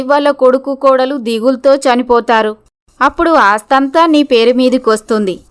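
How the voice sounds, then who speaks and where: A woman's voice: high-pitched, smooth. A woman voicing young female characters, schemers and their victims, in a dramatised moral story. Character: scheming, greedy, threatening woman blackmailer and her frightened young victim.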